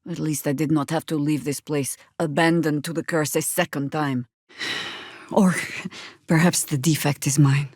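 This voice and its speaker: An actress playing a stern, battle-hardened older druid heroine in a fantasy video game, dry, sardonic and commanding in delivery. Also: female voice, deep voice, fairly even intonation, moderate pace, a little mellow, breathy edge, no room sound. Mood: measured, weary.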